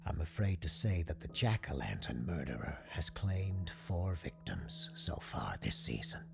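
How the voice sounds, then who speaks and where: An actor playing a courteous parapsychologist who hosts a radio call-in show in an audio drama, gravelly, measured and formal. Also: male voice, a bass voice, sing-song, heavily acted. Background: music under the voice.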